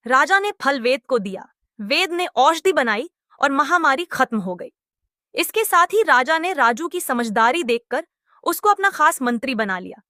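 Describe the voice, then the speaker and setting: Casting: high, bright female storybook narrator, steady and clear. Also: no room sound, clean studio sound.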